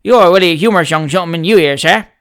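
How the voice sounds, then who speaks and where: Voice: mid-range voice, fast talker, close to an everyday voice. Reader doing Sam, a cocky manservant. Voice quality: dropped-letter working-class dialect. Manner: dogmatic, forceful, wordy. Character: cheeky young male manservant, stubborn.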